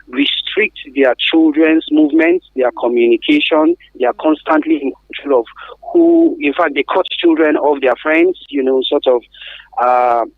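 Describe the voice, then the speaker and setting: A man's voice: on the high side, big pitch swings, moderate pace, no echo. A man with a Nigerian accent speaking as an expert guest in a radio interview, explaining things emphatically and often answering his own questions. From Africa. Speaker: guest expert on survivors of trafficking. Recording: thin, phone-like radio line.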